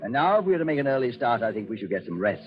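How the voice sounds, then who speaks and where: An actor playing a coldly menacing, arrogant colonel, in 1940s radio style, formally polite and sardonic. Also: male voice, mid-range voice, sing-song, very fast, a little hiss or hum, close to an everyday voice. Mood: smug, sardonic. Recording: muffled 1940s radio recording with music.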